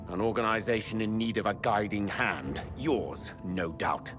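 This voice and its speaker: Guy voicing a cold pointed diplomat, game cutscene, loud music under him. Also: fairly low pitch, unhurried, close to an everyday voice. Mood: accusing. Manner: pointed, accusatory. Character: stern male diplomat, accusing and cold. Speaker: Fereldan ambassador.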